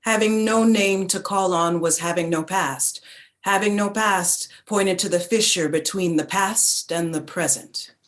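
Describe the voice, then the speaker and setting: A reflective narrator in a literary stage drama played by a woman, remembering childhood. Her voice is a little low. She reads in a measured, deliberate way. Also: fairly bright tone. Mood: reflective remembrance. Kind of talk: staged reading of a literary play.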